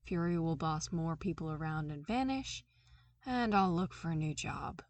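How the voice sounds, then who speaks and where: Woman doing a calm female agent, nonchalant, patient, lowish voice. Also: normal speed, no room sound.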